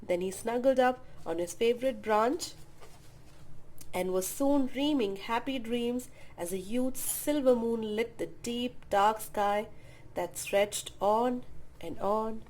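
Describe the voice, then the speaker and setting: A woman storyteller reading a children's picture book aloud with expressive, drawn-out emphasis. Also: on the high side, rough recording.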